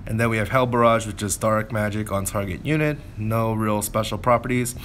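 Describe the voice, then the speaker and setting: A man with a fairly low voice talks through gameplay casually. He thinks aloud and explains things as he goes, over clearly audible background noise. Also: a little fast, fairly bright tone. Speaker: gameplay commentator. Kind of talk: casual game commentary.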